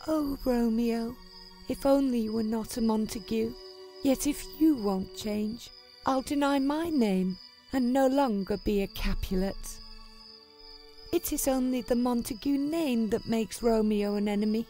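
A woman acts a lovestruck young noblewoman in a storybook romance. The voice is high and mellow, yearning and tender, and moves very slowly. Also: faint music behind, close to an everyday voice. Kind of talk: dramatized storybook dialogue.

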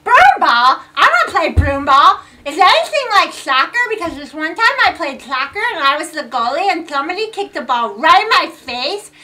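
Squeaky, hyperactive little girl. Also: big pitch swings, normal speed, hardly any pauses, sharp tone, full character voice. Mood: rambling, excited. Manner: rambling, gushing, childlike. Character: hyperactive, excitable, chatty little granddaughter. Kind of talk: comedy sketch acting.

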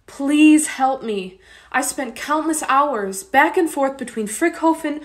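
A woman's voice: high-pitched, slow. A woman playing a polite but desperate young wife and mother in a radio play, earnest and pleading.

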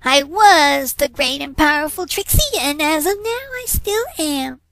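A man's voice: very high-pitched, expressive, a little slow, gravelly, pushed and bright, a little hiss or hum, voice pushed up, full character voice. A man voicing a boastful, pompous female pony stage magician in a fan fiction reading. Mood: boastful, showy. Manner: pompous, speaking of herself in the third person. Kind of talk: fan fiction read-aloud character voice.

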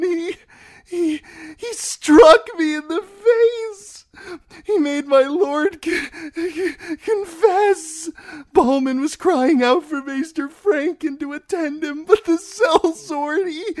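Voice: extremely high pitch, takes it slowly, darkish tone, no echo, clean studio sound, voice pushed up. A narrator voicing a distraught, weeping noblewoman in an audiobook, stammering in a quavery, sobbing voice. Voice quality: quavery, stammering, breaking into sobs. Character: bruised, weeping noblewoman, frantic and pleading.

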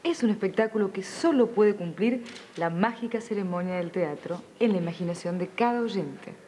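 A woman's voice: medium pitch, expressive, moderate pace, mellow. Argentine lady reading a narration script, mid voice, bit of background noise.

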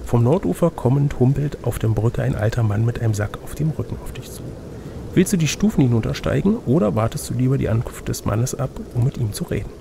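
Male gamebook narrator, medium pitch, creaky, faint noise behind the voice. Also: lively intonation, fast talker. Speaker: reader and game master. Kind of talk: reading a gamebook aloud with casual asides.

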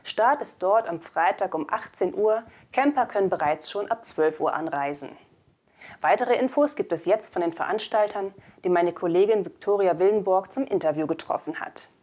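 A woman's voice: mid-range voice, lively intonation, moderate pace, a little mellow. A woman in her twenties presenting scripted news in a studio, recorded on a lapel mic. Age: 20s. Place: indoor studio.

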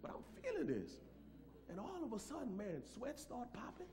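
A man's voice: very high-pitched, wildly swooping pitch, normal speed, noisy background. A middle-aged American man preaching as a pastor, telling personal stories in a folksy, emphatic way with repeated phrases. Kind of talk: animated sermon with personal storytelling. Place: church sanctuary, at a pulpit. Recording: live church room with audience reactions. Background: congregation reacting.

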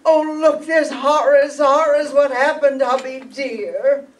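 An older woman playing a bossy, excitable rich society hostess in old-time radio style, fussy and dramatic. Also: sits high, slow. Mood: distressed, accusing. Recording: distant room microphone.

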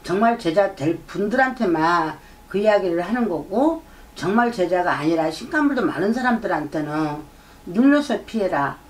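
A woman's voice: on the low side, animated, normal speed. A middle-aged woman, a shaman doing a fortune-telling consultation, lecturing and often prompting with "eh?". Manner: lecturing, repeats herself, prompts with "eh?". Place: shrine room with folding screen and drum. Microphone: far camera. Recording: distant mic, faint room ambience.

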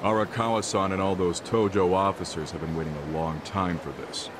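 A low male voice from an actor as a blunt, exasperated gang planner in a video game drama. He nags and presses like a loyal younger brother. Clear traffic and street noise runs behind him. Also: steady tone, a little fast, close to an everyday voice. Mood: stern, pressing. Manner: blunt, nagging, profane.

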